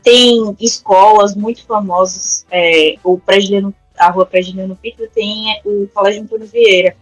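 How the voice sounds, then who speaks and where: A woman's voice: medium pitch, steady tone, moderate pace, no echo, faint music behind, low-quality recording. A woman guest on a podcast who teaches and does research, explaining casually with many fillers and restarts. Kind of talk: casual conversational interview. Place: resident of a neighborhood in Salvador, Brazil.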